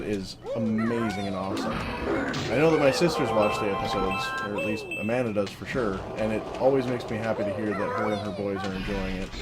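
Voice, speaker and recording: A woman with an unusually deep, low voice narrates her gameplay in a flat, monotone, offhand way. She jokes at her own expense and swears casually. Loud video game music plays behind her voice throughout. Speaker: let's play commentator. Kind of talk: solo gameplay commentary.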